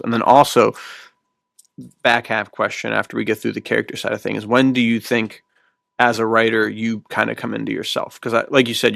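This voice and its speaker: A man's voice: lowish voice, gravelly, a bit bright, dry recording, studio quality. A man in his twenties hosting a podcast in a casual, rambling, self-deprecating way with small chuckles. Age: 20s. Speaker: podcast host and fiction writer. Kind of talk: casual conversational podcast chat.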